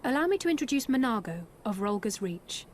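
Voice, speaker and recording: An actress playing a composed, courteous young envoy in a video game, polite and articulate. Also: female voice, fairly high pitch, big pitch swings, fairly quick, slight background noise. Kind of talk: video game drama acting.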